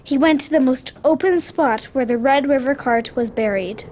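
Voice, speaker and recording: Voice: sits high, moderate pace, darkish tone. A child of about nine or ten reading scripted storytelling narration in a school radio drama.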